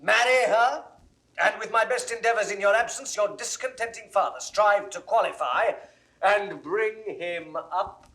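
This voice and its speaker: Casting: loyal lord in classical audio drama, forceful and measured, slightly high voice, persuasive. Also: male voice. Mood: earnest, persuasive. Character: loyal, earnest male lord and counsellor to a prince. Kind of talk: classical Shakespearean stage acting, 1960s audio recording.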